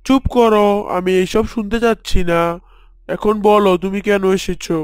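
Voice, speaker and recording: A man's voice: high-pitched, fairly even intonation, normal speed, smooth, no room sound, lightly acted. A man voicing a rude, stubborn neighbor boy in a cartoon, talking in a brusque, dismissive way.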